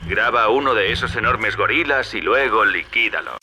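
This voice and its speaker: An actor with a Castilian Spanish accent playing a wry, chummy opportunist who guides the player in a video game. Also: male voice, fairly low pitch, animated, moderate pace, pushed and bright, slight background noise, heavily acted. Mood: wry, instructing. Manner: familiar, sardonic, instructing. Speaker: radio guide character.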